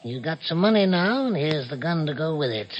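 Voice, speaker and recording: A cocky, teasing crook in an old radio play. His voice sits high for a man, and he talks with breezy, theatrical command. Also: animated, fairly quick, lo-fi sound. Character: brash, cocky male stickup man, teasing tempter. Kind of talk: mid-century radio drama acting.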